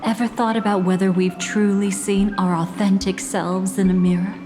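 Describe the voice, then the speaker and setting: An actress playing an enigmatic, composed woman in a video game, guiding a dreamer in a measured, solemn and cryptic way. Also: medium pitch, little pitch movement, over music, lightly acted. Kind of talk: scripted video game dialogue. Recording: long hall-like reverb.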